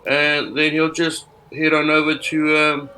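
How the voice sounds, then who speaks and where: A man playing a tabletop roleplaying game, haltingly narrating his character's actions. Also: on the high side, flat delivery, sharp tone, slight background noise, poor audio. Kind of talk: improvised third-person narration of own character.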